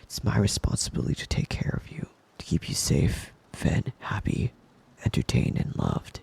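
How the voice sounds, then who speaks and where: A man playing a devoted, possessive young wolf-boy lover in an intimate ASMR roleplay, tender and breathy, often slipping into a whisper. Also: deep voice, huge pitch swings, a little mellow, heavily acted. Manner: tender, doting, slow and lingering with pet names. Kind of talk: intimate ASMR roleplay, soothing bedtime murmur.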